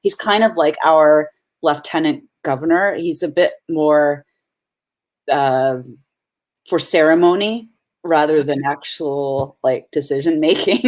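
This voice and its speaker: A woman's voice: sits low, slow. A woman actress being interviewed on a video call, chatting casually, upbeat and giggly, rambling through her stories. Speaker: actress, guest.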